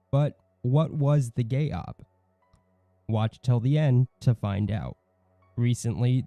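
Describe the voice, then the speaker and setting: A man's voice: mid-range voice, dark tone, no room sound. A man hosting an online channel, reading scripted commentary in a snide, mocking manner. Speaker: YouTube channel host.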